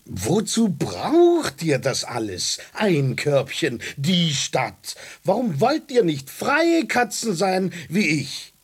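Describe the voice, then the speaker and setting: Radio drama role: scruffy independent male stray cat, rough husky voice, slow delivery, blunt. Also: on the high side, wildly swooping pitch, exaggerated voice. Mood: defiant. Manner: blunt, colloquial.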